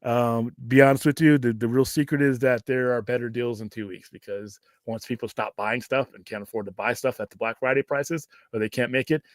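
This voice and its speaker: A gruff American man hosts a casual question-and-answer livestream, recorded dry and close. He rambles off the cuff in a medium-pitched voice, with casual swearing mixed in. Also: steady tone, brisk, few pauses, dry recording. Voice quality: gruff, self-described Cookie Monster voice. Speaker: host of a pop culture livestream show.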